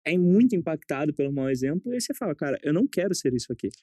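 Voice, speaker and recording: A man's voice: fairly high pitch, big pitch swings, very fast, dark tone, dry recording, studio quality. A man hosting a casual podcast interview, asking questions in a friendly, conversational way. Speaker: podcast host, general surgeon.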